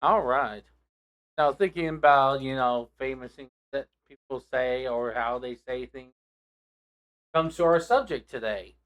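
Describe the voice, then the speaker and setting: A young man hosting a casual podcast chat with friends, talking in a relaxed, rambling way with restarts. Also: mid-range voice, measured pace, lo-fi sound. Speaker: podcast host.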